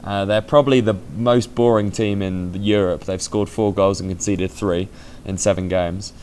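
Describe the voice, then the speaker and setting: A man's voice: fairly low pitch, fairly even intonation, normal speed, a little mellow, slight background noise. A man co-hosting a football podcast, talking casually with a dry sense of humour and thinking aloud. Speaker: podcast co-host.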